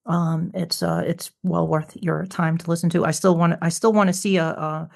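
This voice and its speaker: A podcast host chatting casually, halting and backtracking while putting thoughts together. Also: mid-range voice, steady tone, vocal fry, darkish tone. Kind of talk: casual chat.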